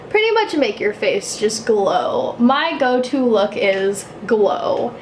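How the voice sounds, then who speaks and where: A young woman with a low, husky voice chatting casually while doing her makeup, self-mocking and swearing offhandedly. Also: sing-song, slow pace, reverberant, slight background noise. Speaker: YouTuber doing a makeup tutorial. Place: apartment room.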